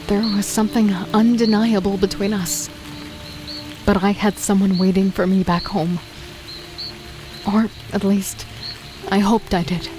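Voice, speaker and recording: A woman narrating an audio drama in the first person, steady, weary and understated. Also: medium pitch. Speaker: narrator and lead. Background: music.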